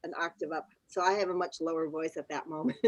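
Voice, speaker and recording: An American-born woman with roots chatting on a video call, laughing often and pausing with many ums. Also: sits low, steady tone, no echo, rough recording. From United States. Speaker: guest. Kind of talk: casual conversation on a video call.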